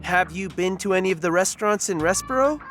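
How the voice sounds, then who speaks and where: A woman's voice: fairly low pitch, animated, fast, faint music behind, natural voice. Awkward lovestruck recruit with swooping delivery, voiced by a woman. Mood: awkwardly flirting.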